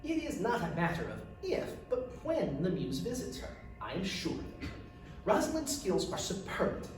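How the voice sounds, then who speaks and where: A man's voice: high voice, huge pitch swings, normal speed, soft background music, pitched above the performer's own voice. A man voicing a stern, haughty noblewoman and demanding mother in a storybook reading, cool and insistent. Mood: indignant, insistent. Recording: echoey room, distant mic, faint noise.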